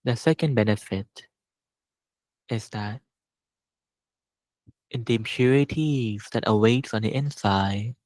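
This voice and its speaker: A man leading a guided meditation as a teacher, speaking gently and unhurriedly with long pauses. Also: lowish voice, dry recording, rough recording.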